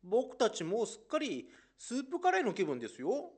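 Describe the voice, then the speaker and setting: An actor playing a friendly, gently complaining male colleague in an amateur radio drama. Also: very high-pitched, wildly swooping pitch, brisk, breathy edge, exaggerated voice. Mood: mock complaint.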